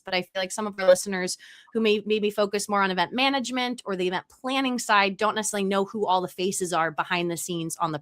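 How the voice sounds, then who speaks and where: Bright, upbeat woman podcast host. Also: mid-range voice. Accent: Pennsylvania. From Delaware County, Pennsylvania, USA. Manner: upbeat and chatty, with frequent fillers. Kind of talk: conversational podcast hosting.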